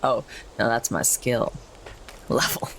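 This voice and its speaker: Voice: medium pitch, steady tone, slow, a bit bright. A player chatting casually during a tabletop roleplaying game. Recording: noisy recording with clicks.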